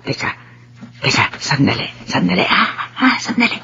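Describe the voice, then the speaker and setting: A woman's voice: on the low side, very animated, normal speed, vocal fry, pushed and bright, muffled recording, slight background noise, exaggerated voice. A woman acting a doting, anxious mother in a radio drama, coaxing and pleading as she speaks. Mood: coaxing, tender. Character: doting, anxious mother tending her child.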